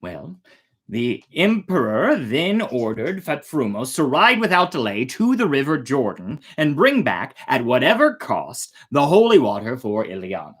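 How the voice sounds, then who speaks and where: A storyteller reads a fairytale in a mid-range voice with highly animated intonation. The delivery mixes dramatic narration with casual, informal asides. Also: a little slow, husky. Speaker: storyteller, host.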